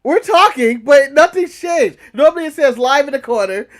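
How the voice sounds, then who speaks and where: A man's voice: very high-pitched, expressive, moderate pace. An American man co-hosting a podcast, talking in casual live banter. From United States. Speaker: podcast co-host.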